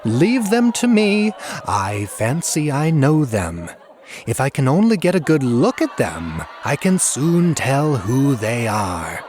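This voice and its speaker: A man voicing a maniacally gleeful, vengeful jester dwarf in an audiobook, with a shrill, screaming edge and a drawn-out, taunting delivery. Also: sing-song, darkish tone, a little hiss or hum. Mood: gleeful, mocking.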